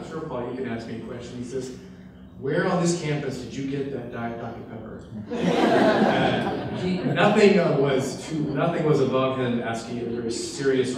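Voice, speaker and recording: Man introducing a lecture, echoey and distant. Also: medium pitch. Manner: hesitant, many fillers and restarts. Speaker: fellowship program director introducing a speaker. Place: lecture hall. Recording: distant mic with echo and room noise.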